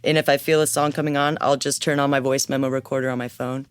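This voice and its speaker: A musician guest with a slightly raspy voice, chatting easily and laughing often in an interview. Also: medium pitch, flat delivery, quick pace, dry recording. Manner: easygoing, laughs often, talks freely. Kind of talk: casual interview chat.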